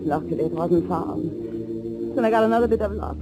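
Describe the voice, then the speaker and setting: A woman's voice: on the low side, very animated, moderate pace, over loud music. An actress playing a frightened, tense woman on the run, narrating her ordeal with dramatic 1940s radio thriller acting. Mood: tense recounting. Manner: dramatic, breathless, suspenseful delivery. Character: frightened young woman fugitive named Anna, tense, recounting her ordeal. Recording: noisy old 1940s radio recording.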